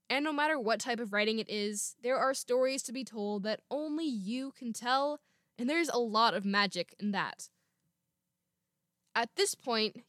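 Young woman, podcast host, scripted talk, slightly high voice, dry close sound. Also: a bit bright, no echo. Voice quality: naturally lower female voice. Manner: reads a script with small laughs. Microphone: home microphone.

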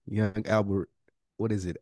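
A man's voice: lowish voice, little pitch movement, measured pace, a little mellow, no room sound, clean studio sound. A man co-hosting a podcast, bantering in an informal, slangy way as he thinks aloud. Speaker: podcast co-host. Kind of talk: casual banter about a TV series fantasy draft.

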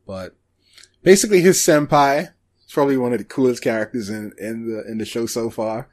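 A man's voice: medium pitch, fairly quick, darkish tone. A man co-hosting a casual podcast about anime, speaking hesitantly and often trailing off. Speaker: podcast co-host.

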